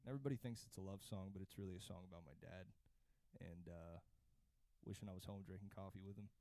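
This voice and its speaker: A man's voice: low voice, quick pace, mellow, no room sound. A young American man from Florida, a singer-songwriter guest, chatting casually into a close studio microphone. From Florida, United States. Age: young adult. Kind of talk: casual chat. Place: indoor radio studio. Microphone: close stand mic, headphones.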